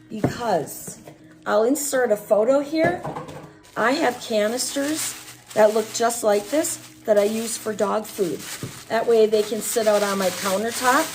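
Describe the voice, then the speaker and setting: A woman's voice: mid-range voice, darkish tone. A middle-aged American woman chatting casually as she hosts an unboxing vlog, her voice affected by a chest cold. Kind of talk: casual product review chat. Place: small room at home, at a table. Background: music.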